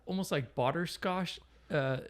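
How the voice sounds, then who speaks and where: A man with an Italian accent, English not his first language, co-hosting a casual beer tasting, talking haltingly with many fillers and restarts. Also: a little high. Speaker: beer reviewer, co-host.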